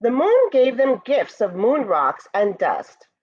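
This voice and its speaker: Woman doing a kids read-aloud, very animated, takes her time, talks right to the kids, bit lo-fi. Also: on the high side, slow. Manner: expressive, addresses young listeners directly. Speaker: school staff member reading a picture book to pupils. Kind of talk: children's read-aloud with asides.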